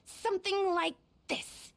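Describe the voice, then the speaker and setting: An actress playing an earnest, modest young event organiser in a video game, chatty, expressive and a little dramatic. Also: female voice, very high voice, slow pace, gravelly, full character voice. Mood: curious, flustered. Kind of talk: video game cutscene acting. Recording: music behind the voice.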